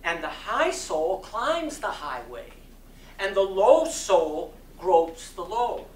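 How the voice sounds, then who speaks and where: A man giving a dramatic reading of a sermon, oratorical and impassioned, with deliberate phrasing and strong emphasis. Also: high-pitched, sing-song. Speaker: reader of a sermon. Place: community center hall. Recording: distant mic in echoey room, faint noise.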